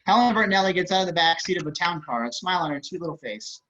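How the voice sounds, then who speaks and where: A man's voice: high-pitched, animated, very fast, a bit bright, a little hiss or hum. A man narrating at a table read, reading out screenplay stage directions over a video call. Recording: slightly dull video-call audio.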